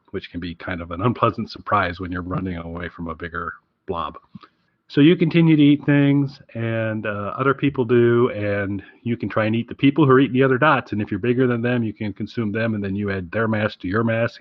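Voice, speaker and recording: A man's voice: lowish voice, no echo, lo-fi sound. A man hosting a podcast, chatting casually about his recommendations in an enthusiastic, rambling way. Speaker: podcast host.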